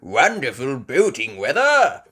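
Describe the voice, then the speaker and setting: A woman's voice: very deep voice, wildly swooping pitch, takes it slowly, a bit bright, husky, full character voice. A woman voicing a jovial old grandfather pig in a storybook, hailing people heartily like a boatman.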